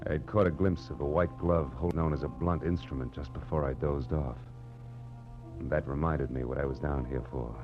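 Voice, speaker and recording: An actor playing a hard-boiled, weary private detective, narrating and talking in terse, wry wisecracks in 1940s noir radio style. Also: male voice, a bass voice, steady tone, moderate pace. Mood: groggy, wry. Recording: muffled old radio recording, music behind.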